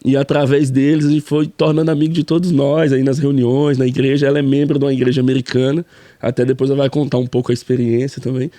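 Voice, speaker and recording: A man's voice: medium pitch, level tone, quick pace, mellow, no room sound. A man, a pastor, co-hosting a casual church podcast and repeating friendly affirmations.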